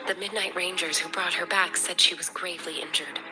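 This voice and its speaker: An actress playing a composed, caring academy medic in a video game, speaking in a measured, formal and earnest way. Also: female voice, fairly low pitch, fairly even intonation, over music, close to an everyday voice, rough recording. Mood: calm concern. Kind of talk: scripted video game dialogue.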